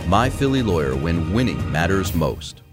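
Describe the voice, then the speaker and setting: A man's voice: sits low, very animated, moderate pace, loud music behind the voice. A male lawyer delivering his own radio ad in a persuasive, punchy way. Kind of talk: read radio commercial.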